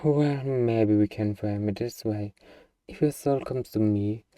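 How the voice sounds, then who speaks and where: A man's voice: on the low side, a little slow, mellow, no echo, studio quality, recorded from a distance, natural voice. A man playing an eager, bargaining demon who craves a cuddly pet, in a playfully earnest, wheedling ASMR roleplay monologue. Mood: haggling.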